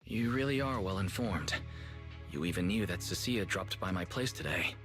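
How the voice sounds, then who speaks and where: An actor playing a tactful, level-headed young man in a video game, polite and gentle. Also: low voice, expressive, moderate pace. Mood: composed. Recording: music under the voice. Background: music.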